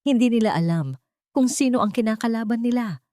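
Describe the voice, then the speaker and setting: A woman's voice: a little high, animated, fairly quick, warm tone, no echo, studio quality, pitched above the performer's own voice, natural voice. A woman voicing a guarded young heiress in a drama story, polite and resolute. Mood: cold resolve.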